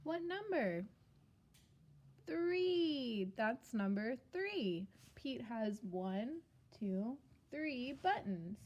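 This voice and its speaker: A woman preschool teacher reading a picture book aloud to young children in simple, sing-song phrases, pausing after questions. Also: a little high, expressive, a little mellow, muffled recording. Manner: simple short phrases with deliberate pauses for answers.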